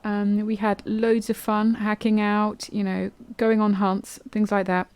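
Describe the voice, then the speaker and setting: A woman's voice: mid-range voice, monotone, measured pace, a little mellow, clear, resonant tone. A woman hosting a podcast, talking casually and at ease, with frequent ums and loose restarts. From England. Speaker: podcast host. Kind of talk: casual solo podcast monologue.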